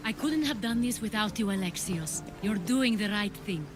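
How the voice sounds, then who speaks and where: An actress playing a poised, idealistic ancient Greek political schemer in a video game cutscene, speaking in a measured, persuasive way. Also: female voice, medium pitch, animated, natural voice. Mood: tender, assured. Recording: music playing behind the voice.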